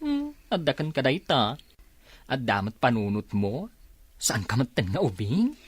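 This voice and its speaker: A woman's voice: rumbling low, big pitch swings, unhurried, slightly rough, no room sound, heavily acted. A woman playing a teasing, watchful companion in an Ilocano radio drama, with an Ilocano accent. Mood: teasing, curious.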